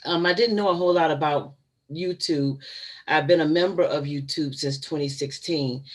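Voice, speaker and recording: A woman's voice: low-pitched, moderate pace. A woman in her fifties hosting a casual livestream from home, chatty and given to laughing asides to her viewers. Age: 50s. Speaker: channel host.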